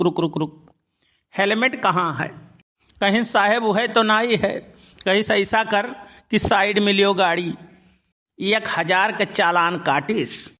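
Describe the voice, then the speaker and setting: A man's voice: sits high, bright, forceful tone. A man playing a folksy, rambling village uncle in a cartoon, with an Awadhi accent. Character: folksy village uncle telling tall anecdotes. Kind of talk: cartoon storytelling in rustic dialect.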